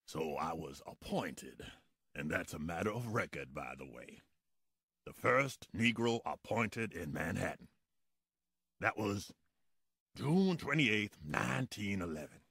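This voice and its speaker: An actor playing a proud, dignified old former policeman in a radio docudrama, gravelly and emphatic. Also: sits low, sing-song, measured pace, a bit bright, no room sound, exaggerated voice. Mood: proud recollection.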